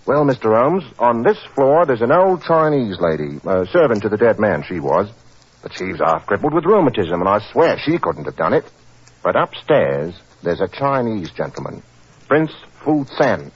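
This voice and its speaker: An eager, plodding constable in an old radio drama. His husky voice swings widely in pitch and his talk is folksy and respectful. The recording is muffled. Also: sing-song, moderate pace. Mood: helpful. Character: earnest, deferential working-class London police constable, eager and plodding. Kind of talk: 1940s radio acting.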